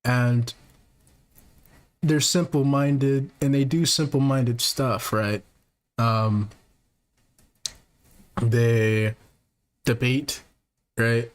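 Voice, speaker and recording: A man hosting a podcast, talking casually to viewers in a soft, halting way with lots of fillers and restarts. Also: medium pitch, steady tone. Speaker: podcast host; technician.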